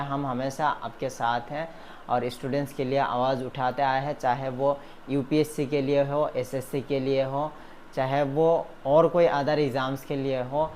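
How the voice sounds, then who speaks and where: A man with a mid-pitched voice speaks as an education vlogger to his viewers. He delivers his commentary earnestly in short, halting phrases. Ambient noise sits behind the voice. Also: darkish tone. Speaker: education vlogger addressing exam students. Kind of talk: spoken news commentary to viewers. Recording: noticeable background ambient noise.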